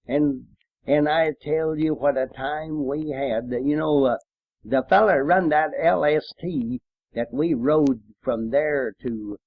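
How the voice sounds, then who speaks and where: A man's voice: fairly high pitch, no room sound. An older man, a folksy veteran reminiscing in a rambling, casual way on a muffled home recording. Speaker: war veteran storyteller. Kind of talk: casual reminiscing with old folk song.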